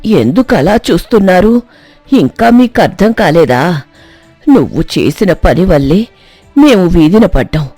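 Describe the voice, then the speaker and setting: A woman plays an old mother in a moral tale, bitter and accusing. Her voice is soft-edged and full of lament, in a dry recording with music. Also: mid-range voice, no room sound. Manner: lamenting, reproachful. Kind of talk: dramatized moral storytelling.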